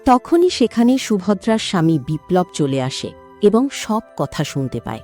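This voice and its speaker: A woman's voice: medium pitch, big pitch swings, unhurried, dark tone, faint music behind. A woman giving scripted narration as the storyteller of a cartoon tale.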